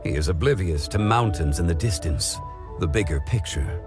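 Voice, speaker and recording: An actor voicing a calm, wise male storyteller in a game, speaking in measured, formal phrases. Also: low-pitched, over music. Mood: thoughtful. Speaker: game character. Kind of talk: game cutscene voice acting.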